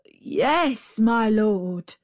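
Woman as a sly, dithering storybook jackal, drawn-out delivery. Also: fairly high pitch, lively intonation, a little mellow, no room sound, clean studio sound. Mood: meek, flustered. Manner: dithering, drawn-out, mock-timid. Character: sly jackal feigning a dim, muddled wit.

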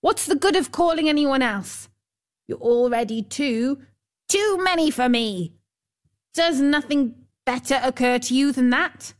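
A woman's voice: a little high, measured pace, natural voice. A woman voicing an irritable, rude little old male dwarf from a storybook, snapping and scolding. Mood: irritated, scolding. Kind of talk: storybook character voice.

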